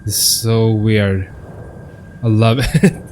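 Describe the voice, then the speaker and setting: A man's voice: lowish voice, fairly even intonation, extremely slow, a little mellow. A man giving casual game commentary as a YouTuber, thinking aloud in a loose, repetitive way. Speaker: gaming YouTuber. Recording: faint background noise with game sound.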